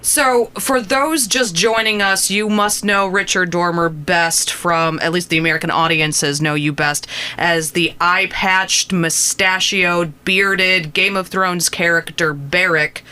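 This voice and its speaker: A woman's voice: on the low side, fairly even intonation, measured pace, pushed and bright, smooth. A woman hosting a radio interview, talking with gushing fan enthusiasm. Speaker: radio host.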